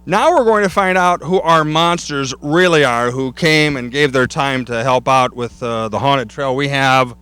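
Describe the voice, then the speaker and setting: A man's voice: mid-range voice, moderate pace, hardly any pauses, pushed and bright, clear, resonant tone, no room sound. A man hosting outdoors on a wooded trail, chatting and joking with guests. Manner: jokey, chatty. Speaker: host. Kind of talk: casual chat.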